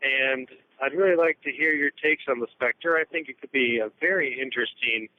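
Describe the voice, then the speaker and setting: A man leaves an earnest voicemail for a podcast, asking his question at a steady pace. He speaks at a middle pitch, and the call sounds thin and tinny, as on a phone line. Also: moderate pace, slight background noise. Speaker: caller.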